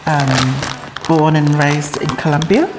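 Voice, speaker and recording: A woman's voice: low-pitched, takes it slowly, background noise. A woman hosting a cooking show, chatting casually and encouraging her guest with short affirmations and questions. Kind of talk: casual interview chat. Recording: echoey reverberant room with music.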